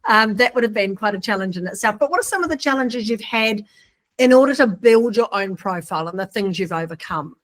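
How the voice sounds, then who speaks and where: A woman's voice: mid-range voice, animated, a little fast. A woman hosting a podcast interview, upbeat and full of praise for her guest. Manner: enthusiastic, effusive praise. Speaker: podcast host.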